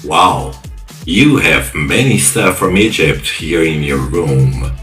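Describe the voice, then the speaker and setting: Deep, slow cyberpunk investigator played by a man. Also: expressive, fairly bright tone, heavily acted. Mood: curious. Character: world-weary male cyberpunk ex-police investigator, probing, suggestive. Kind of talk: English-language audio drama dialogue. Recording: echoey voice over music.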